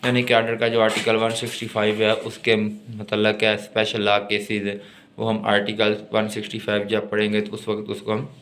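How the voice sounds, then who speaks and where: A man with a Pakistani accent giving an explanatory law lecture, reading out and explaining in a deliberate, instructive way. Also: on the low side, completely flat delivery, background noise.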